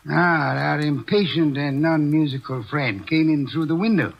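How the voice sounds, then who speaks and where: An actor playing a haughty, pompous detective in a 1940s radio drama, dry and sardonic. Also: male voice, on the high side, moderate pace, creaky. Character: haughty pompous male detective, fussy and imperious. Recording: dull lo-fi old radio recording.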